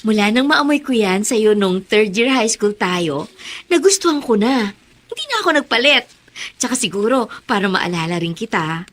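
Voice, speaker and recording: A woman's voice: medium pitch, expressive, normal speed, fairly bright tone. A young woman playing a bubbly, affectionate old schoolmate in a radio drama, with a playful, teasing manner. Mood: tender.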